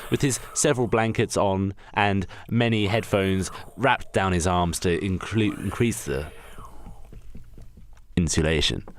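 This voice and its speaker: A man's voice: low-pitched, unhurried, a little hiss or hum. A man narrating an improvised comedy radio play in a theatrical, emphatic storytelling manner. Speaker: podcast narrator.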